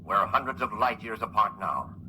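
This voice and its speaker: An actor playing a sober, authoritative spaceship commander speaking over a radio link in a 1950s radio drama. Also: male voice, fairly low pitch, lively intonation, moderate pace, pushed and bright. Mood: grave, regretful. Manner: grave, authoritative. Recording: noisy vintage broadcast, filtered radio voice.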